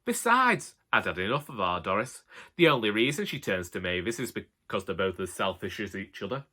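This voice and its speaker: Man playing an elderly Northern English husband, long-suffering, deadpan grumbling, bright forward tone, comic storybook monologue. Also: on the low side, fairly quick, breathy edge, heavily acted. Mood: grumbling, wry. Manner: colloquial, wry, deadpan grumbling. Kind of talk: first-person comic monologue, audiobook narration.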